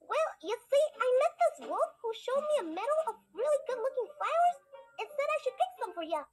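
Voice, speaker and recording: Super high little filly girl, innocent n earnest, really sing-song swings. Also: very animated, a little fast. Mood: innocent, sheepish. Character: sweet, naive little filly girl in fairy tale. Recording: music behind the voice.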